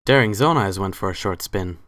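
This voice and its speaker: A man narrates a story from a script. His voice is extremely low, and he serves as the storyteller in a dramatic reading. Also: fairly quick, fairly bright tone, no room sound, clean studio sound.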